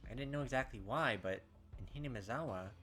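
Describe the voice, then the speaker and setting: A man with a bright, forward voice at an average pitch hosts a visual novel playthrough. He reads the narration aloud, then breaks off into animated, puzzled speculation, sometimes mimicking the characters. Faint music plays underneath. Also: fast. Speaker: let's-play host. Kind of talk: gameplay commentary mixed with reading visual novel text aloud. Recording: music playing behind the voice. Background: game background music.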